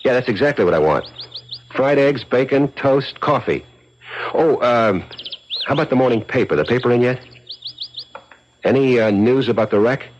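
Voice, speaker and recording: An actor playing a scheming, fast-talking, hard-boiled crook in a 1970s radio drama, slangy and insistent. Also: male voice, mid-range voice, expressive, a little hiss or hum, lightly acted. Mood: guarded, brisk. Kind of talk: 1970s radio drama acting, with first-person narration. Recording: muffled 1970s radio broadcast, music underneath.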